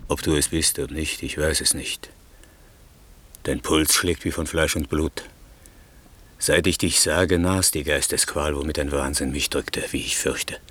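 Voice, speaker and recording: An actor playing a shaken, remorseful king in a 1960s radio play, speaking verse in a halting, wondering way. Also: very deep voice, fairly even intonation, fairly bright tone, full character voice. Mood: bewildered, shaken.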